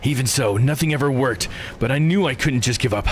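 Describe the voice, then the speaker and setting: A man's voice: mid-range voice, a little fast, a little hiss or hum, voice pushed up, natural voice. A man voicing a bitter, brooding, eight-hundred-year-old immortal lord in a visual novel game, delivered with grim, dramatic solemnity. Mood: festering resentment.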